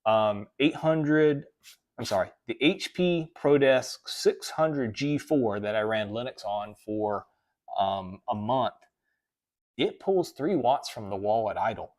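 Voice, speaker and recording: A man's voice: medium pitch, expressive, slow pace, darkish tone. Man, southern US accent, casual tech livestream host, rambling, reads chat aloud. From American South. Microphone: desk microphone.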